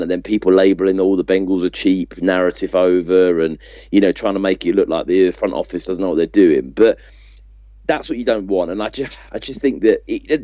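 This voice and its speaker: A man with a notably deep, mellow voice talks casually about sport as a podcast co-host. The recording is a little dull and muffled, with a dry sound. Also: dry recording.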